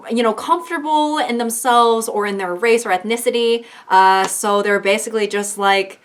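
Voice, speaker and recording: A woman's voice: on the high side, moderate pace. Sarcastic chatty woman vlogging, kinda dry humor. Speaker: YouTuber. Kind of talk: casual commentary vlog.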